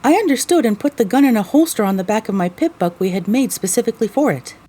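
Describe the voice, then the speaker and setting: A woman's voice: fairly high pitch, animated, fast talker, dark tone. A woman playing a young mare adventurer, weary and wry, narrating her story in the first person for an audio drama.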